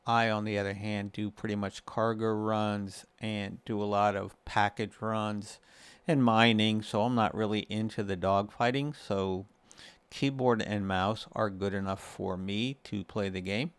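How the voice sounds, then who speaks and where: A man hosting a gaming guide, narrating a casual tutorial in a measured, explanatory way with short pauses between phrases. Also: on the low side, little pitch movement, smooth, no echo. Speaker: host of a gaming guide video series.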